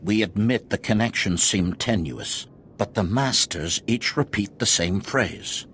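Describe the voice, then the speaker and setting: An actor playing a grave, authoritative Jedi Council master in a video game, speaking in a measured, formal way. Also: male voice, on the low side, fairly bright tone, a little hiss or hum.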